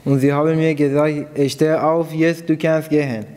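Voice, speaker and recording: Guy from iran sharing his testimony, halting phrases, bit higher voice, big reverby hall. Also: little pitch movement, a little mellow, clear, resonant tone. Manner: simple non-native German, halting phrasing. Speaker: church member giving testimony. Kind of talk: personal testimony in short phrases, pausing for an interpreter.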